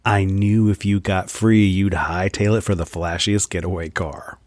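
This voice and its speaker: Actor as cocky laid-back agent dude, lowish voice, unruffled, audio comedy. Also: male voice, fairly even intonation, moderate pace, a little mellow, dry recording, studio quality, lightly acted. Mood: smug. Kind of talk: comedic audio drama acting.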